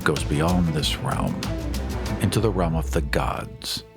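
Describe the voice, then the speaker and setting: A man plays a stern adventurer on a holy quest in a tabletop game. The voice is low and slow, with formal, grave delivery over prominent music. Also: exaggerated voice. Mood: solemn, accusing. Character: solemn, stern divine quest-bound adventurer Veldani. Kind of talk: in-character tabletop roleplay.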